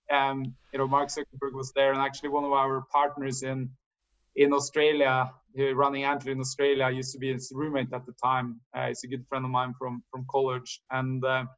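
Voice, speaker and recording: A man in his forties, a podcast guest telling his story in a thoughtful, measured way. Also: medium pitch, a bit bright, lo-fi sound. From Norway. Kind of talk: casual interview answers.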